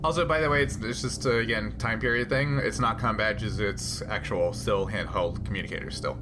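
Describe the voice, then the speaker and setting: A man acting as game master in a tabletop role-playing session, speaking casually and haltingly as he thinks aloud. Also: on the low side, background noise.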